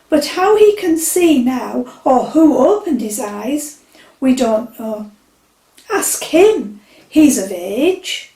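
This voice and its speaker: A woman reading a Bible passage at a church service, in a measured, expressive way. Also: high-pitched. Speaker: scripture reader. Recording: echoey church from a distance.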